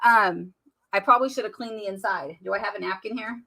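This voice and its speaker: A woman, chatty and bubbly, hosting a live makeup demo while losing her voice and clearing her throat. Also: mid-range voice, a little fast, sharp tone. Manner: chatty and bubbly, addressing viewers as y'all. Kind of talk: casual live-stream makeup tutorial.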